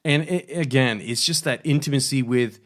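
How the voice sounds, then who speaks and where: A man's voice: mid-range voice, moderate pace, fairly bright tone. A man hosting a podcast, chatting and interviewing in a casual, informal and joking way. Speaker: podcast host.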